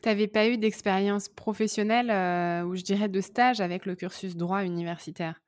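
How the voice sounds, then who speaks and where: A woman hosting a podcast, reading her introduction and then asking relaxed questions with a few fillers. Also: medium pitch, normal speed, no room sound, studio quality. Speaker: podcast host. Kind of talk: scripted intro then interview.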